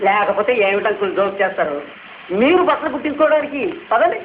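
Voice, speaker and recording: A man playing a breezy, flattering son-in-law in a radio comedy drama, chatty, insistent and ingratiating. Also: high voice, fast. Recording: echoey, narrow-band, noisy old radio recording.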